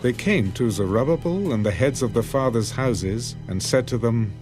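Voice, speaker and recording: A man narrating scripture for a dramatised audiobook in solemn, measured phrases. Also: medium pitch, animated. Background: music.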